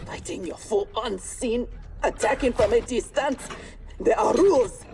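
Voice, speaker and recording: An actress playing a proud, defiant young warrior trainee in a video game, speaking forcefully. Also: female voice, on the high side, measured pace, vocal fry, slight background noise. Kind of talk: dramatic video game acting.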